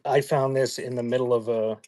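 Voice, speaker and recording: A man with an average-pitched voice hosts a live sale in a dry, echo-free recording. He rambles casually in a folksy way, often halting as he goes, like unhurried small talk with regulars. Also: steady tone, mellow. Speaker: live auction seller hosting a stream. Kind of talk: casual rambling chat while showing items for sale.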